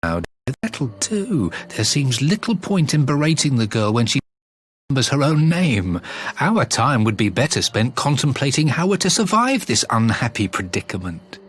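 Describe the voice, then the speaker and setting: A man playing a callous, calculating schemer in a fantasy video game, speaking in a measured, formal way. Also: mid-range voice, big pitch swings, fairly bright tone. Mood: cold calculation. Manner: measured, formal, coolly mercenary. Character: callous, calculating middle-aged schemer fallen on hard times. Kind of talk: dramatic video game voice acting.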